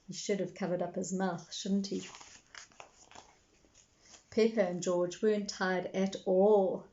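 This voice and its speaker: Slightly muffled recording, woman storyteller, very slow pace, expressive children's read-aloud, talks directly to young listeners. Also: mid-range voice. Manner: addresses young listeners with tag questions.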